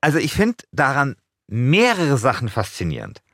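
A man's voice: mid-range voice, wildly swooping pitch, normal speed, a bit bright, no room sound, studio quality. A man co-hosting a podcast, chatting casually and analytically in an enthusiastic, wordy way. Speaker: podcast co-host.

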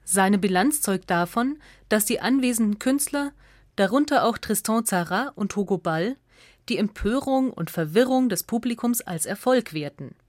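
A woman reads a scripted radio essay as narrator, in a measured, articulate way. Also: mid-range voice, animated, dry recording, studio quality.

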